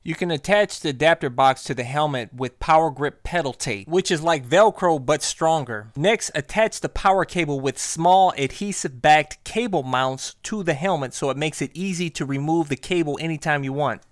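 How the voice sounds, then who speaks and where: Male tutorial narrator, slightly high pitch, scripted instructional read, dry close sound. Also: normal speed, hardly any pauses, dry recording. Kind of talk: scripted how-to voice-over.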